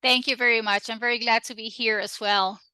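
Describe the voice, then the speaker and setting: A woman from Rica, a Spanish speaker, chatting as a guest in an interview with lively restarts and laughter. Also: a little high, brisk, clean tone, no echo. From Costa Rica. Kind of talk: casual interview chat.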